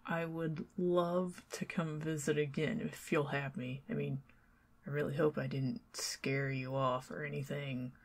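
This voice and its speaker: A young woman with a Southern US accent talks slowly and chattily to the listener in an ASMR roleplay, sliding between soft speech and breathy whispers. Her voice sits low with a husky, gravelly texture. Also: flat delivery. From Southern United States. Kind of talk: ASMR roleplay, talking to the listener as a friendly neighbor.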